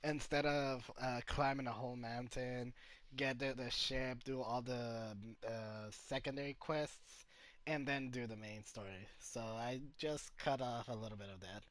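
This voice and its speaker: A man giving casual, halting video game playthrough commentary, thinking aloud as he plays. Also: mid-range voice, steady tone, fairly bright tone. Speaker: gaming content creator. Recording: clearly noisy background ambience.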